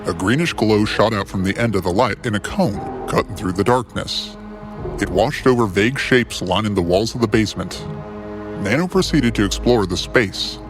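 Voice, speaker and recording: A man with a fairly low voice reads scripted fiction as narrator. His delivery is measured and dramatic, with deliberate phrasing. Music plays clearly behind him.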